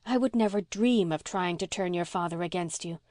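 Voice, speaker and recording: A woman voicing a gentle, frank and affectionate woman in a storybook, speaking softly and tenderly as if to a child. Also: on the low side, brisk, no room sound, studio quality, lightly acted. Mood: warm, sincere. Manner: soft, tender, sincere. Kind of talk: audiobook character voice.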